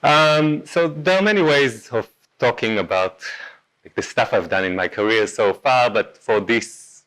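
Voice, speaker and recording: A man's voice: medium pitch, unhurried, a bit bright, rough recording. A man in his thirties with an Israeli accent, giving a casual talk at a meetup, with many ums and self-corrections. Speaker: meetup speaker. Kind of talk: conference talk.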